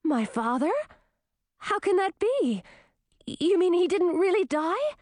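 A woman's voice: very high-pitched, sing-song, a little slow, darkish tone, no room sound, pitched above the performer's own voice, exaggerated voice. A woman voicing an earnest, dutiful young princess in a storybook. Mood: questioning. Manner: earnest, questioning.

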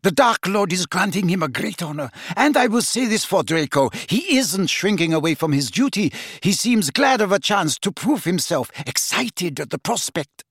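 A man voicing a scornful, fanatical woman witch in a high, sharp voice. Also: big pitch swings, moderate pace, few pauses, breathy edge, dry recording, clean studio sound, exaggerated voice.

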